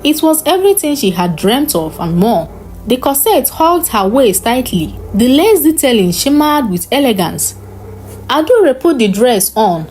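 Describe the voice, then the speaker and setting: Higher-pitched woman narrating a dramatic story. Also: very animated, a little slow, darkish tone, a little hiss or hum, low-quality recording. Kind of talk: scripted dramatic folktale narration.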